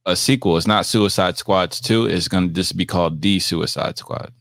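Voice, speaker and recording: A man casually shares entertainment news as one of a panel. His voice is very deep. It is recorded dry, with almost no room sound. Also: normal speed, fairly bright tone, dry recording. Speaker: talk show panelist.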